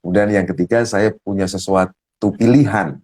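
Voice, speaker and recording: A man speaking as a coach, explaining ideas step by step in a slow, thoughtful way with many fillers. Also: deep voice, fairly even intonation, darkish tone, slightly rough. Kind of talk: explaining ideas in a talk show.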